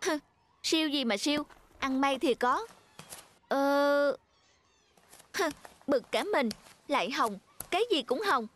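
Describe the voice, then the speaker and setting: High-pitched proud schoolgirl. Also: animated, slow pace, long pauses, a bit bright, exaggerated voice. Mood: resentful, upset. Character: proud, competitive schoolgirl, the principal's daughter. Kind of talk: animated cartoon voice acting.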